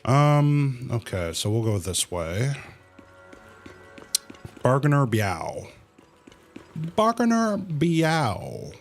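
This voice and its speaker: A man livestreaming a video game with casual commentary, thinking aloud in a halting way. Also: medium pitch, very animated, soft background music.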